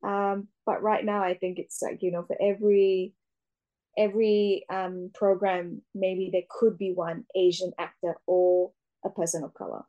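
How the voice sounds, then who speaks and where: A young woman actor answering interview questions, often pausing with fillers and restarting her sentences. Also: mid-range voice, level tone, muffled recording. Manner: hesitant, many fillers and restarts. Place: Australia.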